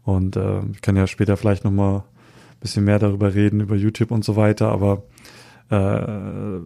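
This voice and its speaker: A man's voice: on the low side, completely flat delivery, normal speed, vocal fry, mellow. A man in his thirties from Hamburg, a guest on a podcast, talking casually and hesitantly with many fillers. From Hamburg, Germany. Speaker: podcast guest, street photographer. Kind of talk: casual interview chat.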